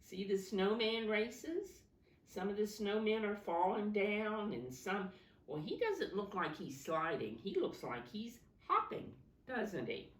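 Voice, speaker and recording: A woman reading a picture story aloud to young children in a sing-song way, pausing to point things out and ask simple questions. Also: mid-range voice, big pitch swings. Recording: roomy echo, distant microphone.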